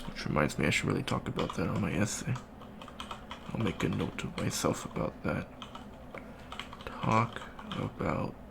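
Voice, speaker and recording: Guy doing an awkward nerdy alien college kid for asmr roleplay, really gravelly low voice, stammers and rambles. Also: background noise, heavily acted. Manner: hesitant, rambling, full of ums and stammers. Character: awkward, bookish young alien Avali college student. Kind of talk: soft-spoken ASMR roleplay.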